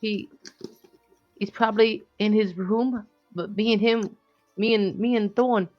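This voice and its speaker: A game master voicing a frightened young girl who is watching over her little brother, pleading in halting bursts. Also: mid-range voice, steady tone, muffled recording, soft background music, pitched above the performer's own voice, close to an everyday voice. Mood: earnest. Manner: anxious, pleading, halting speech.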